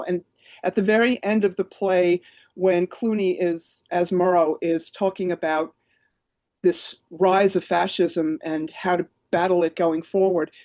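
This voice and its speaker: Female arts reviewer, mid-range pitch, emphatic and opinionated, very little room sound. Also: darkish tone, no room sound. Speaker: theater reviewer.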